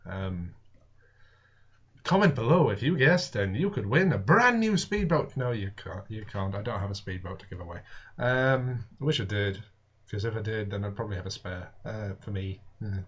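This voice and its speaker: A man's voice: lowish voice, expressive, moderate pace, a bit bright, slightly rough. A man hosting a reading in a hesitant, apologetic way with dry, self-deprecating humour. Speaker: host and audiobook reader. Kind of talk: casual rambling intro, then narrated reading of a classic novel. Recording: dull lo-fi camera audio.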